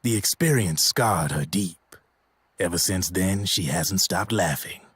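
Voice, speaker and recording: Husky, low-voiced street-smart war storyteller. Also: male voice, a little slow, a bit bright, no echo, heavily acted. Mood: grim storytelling. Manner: folksy, colloquial storytelling. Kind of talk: dramatic video game voice acting.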